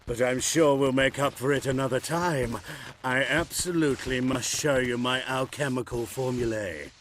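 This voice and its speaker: A man's voice: medium pitch, moderate pace, a bit bright, close to an everyday voice. Eager, formal alchemist man, acted, faint background noise. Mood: eager, apologetic. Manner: formal, effusive, wordy. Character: polite, eager, bookish male alchemist-mage. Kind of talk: video game dialogue acting.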